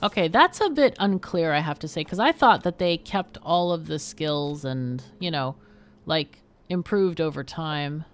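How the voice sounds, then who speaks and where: A woman's voice: sits low, expressive, unhurried, a little hiss or hum. Woman hosting a casual game livestream. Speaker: livestream host playing a game. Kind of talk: casual live game commentary and chat.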